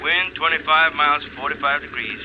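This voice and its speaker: An actor playing a deliberate control tower operator over a radio link in an old-time radio drama. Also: male voice, on the high side, fairly even intonation. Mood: official. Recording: dull vintage radio, engine noise.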